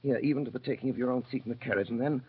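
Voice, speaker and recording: A man playing a resolute, self-sacrificing English gentleman in an old radio drama, husky and urgently commanding. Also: medium pitch, rapid-fire. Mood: solemn, insistent. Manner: urgent, commanding, clipped phrases. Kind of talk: 1930s-40s radio drama acting. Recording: dull, muffled old radio recording.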